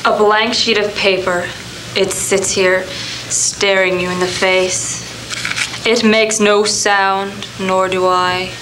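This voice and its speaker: An actress playing a talking typewriter that needles and accuses in a sardonic, measured radio play delivery. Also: female voice, mid-range voice, little pitch movement, natural voice. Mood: cold, accusing. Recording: noisy SD analog film transfer, constant hum.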